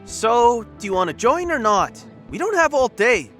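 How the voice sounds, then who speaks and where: Brash high-voiced young sidekick acted by a man. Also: very animated, normal speed, bright, forceful tone, soft background music, full character voice. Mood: impatient. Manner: brash, teasing, theatrical. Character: cocky, playful young best friend and space-biker second-in-command. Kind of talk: audio drama acting.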